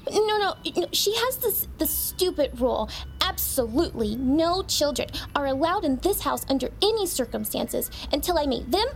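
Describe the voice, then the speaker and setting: A very high, childlike voice for a young fourth-grade girl in an audio drama. She is timid and obedient, speaking hesitantly with small stammers. There is faint noise behind her. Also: lively intonation, moderate pace. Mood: cautious. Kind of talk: immersive audio drama acting.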